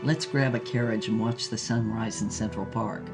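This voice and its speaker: A woman's voice: rumbling low, steady tone, normal speed, over music, pitched below the performer's own voice. A woman voicing a powerful male politician, a romantic lover who speaks grandly and intimately in an audio drama. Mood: grandiose, romantic.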